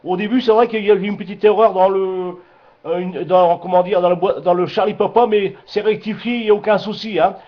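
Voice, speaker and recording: A man's voice: sits high, steady tone, brisk. A man chatting casually as an amateur radio operator, rambling and repeating himself with frequent filler tags. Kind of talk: casual radio contact chat. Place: two-way radio contact. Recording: dull lo-fi two-way radio transmission.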